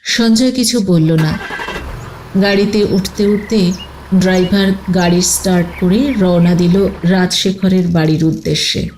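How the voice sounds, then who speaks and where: A woman's voice: fairly low pitch, a little slow, darkish tone, a little hiss or hum, poor audio. A woman narrating a scripted detective story as an audio storyteller. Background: music.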